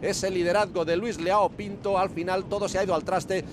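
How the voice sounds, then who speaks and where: A man from Spain with a Castilian accent giving commentary on race highlights as a sports commentator. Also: steady tone, fairly quick, noisy background. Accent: Spanish (Spain).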